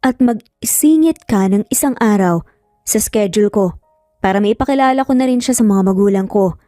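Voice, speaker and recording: A woman's voice: mid-range voice, normal speed, dry recording, studio quality, lightly acted. A woman with a Filipino accent voicing a serious, assertive young father in a narrated story. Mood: determined. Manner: firm, serious, switches into English. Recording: soft music under the voice.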